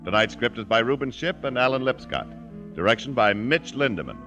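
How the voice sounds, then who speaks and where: A man's voice: medium pitch, animated, moderate pace, fairly bright tone, highs cut off. A man working as a radio announcer, reading scripted sponsor copy and credits in 1950s broadcast style. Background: music.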